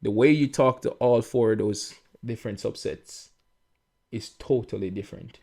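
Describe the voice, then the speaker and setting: A young man with a Jamaican accent and a fairly low voice talks in a relaxed, chatty way. He co-hosts a casual podcast and explains ideas with everyday examples. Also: normal speed, vocal fry. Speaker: podcast co-host. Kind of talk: casual chat.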